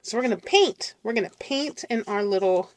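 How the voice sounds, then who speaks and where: Middle-aged woman host with a cold, congested and raspy, chatting and apologizing through a relaxed crafting tutorial. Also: medium pitch, normal speed, no echo. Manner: chatty, apologetic, talks to viewers while working. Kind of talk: casual craft tutorial chat.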